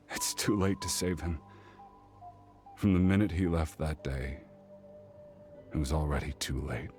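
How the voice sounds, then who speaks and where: A man acts a sombre, guilt-worn warrior in a dramatic game scene. His mid-pitched voice is halting and slow, heavy with grief, with music clearly underneath. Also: lively intonation, close to an everyday voice. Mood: despairing. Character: grieving, guilt-worn adult male warrior, desperate, sombre. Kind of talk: dramatic video game acting.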